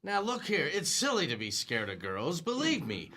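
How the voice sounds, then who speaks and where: A man's voice: fairly high pitch, huge pitch swings, moderate pace, bright, forceful tone, exaggerated voice. An actor playing a bumbling, blustery working-class husband and father in a comic radio drama, with a fumbling, hesitant way of talking. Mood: blustering.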